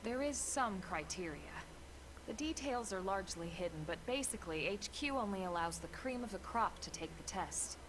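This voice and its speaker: Actress as serious army officer lady in a game, measured n businesslike, medium pitch, noisy background. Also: animated, natural voice. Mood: firm, businesslike. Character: composed, serious adult female military officer guiding a recruit. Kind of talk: English-language video game voice acting.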